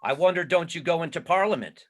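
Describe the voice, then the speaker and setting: A man's voice: fairly high pitch, fast talker, a bit bright. A man playing a bitter old miser in a dramatic reading of a Victorian story, curt and scornful.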